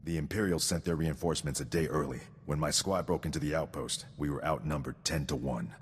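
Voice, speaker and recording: An extremely deep male voice as a rigid, dutiful game sergeant. He speaks with formal precision and cold devotion to victory. The sound carries long hall reverb and a rumbling noise. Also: fairly even intonation, brisk. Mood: stoic. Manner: formal, precise, recites statistics. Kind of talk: scripted video game acting.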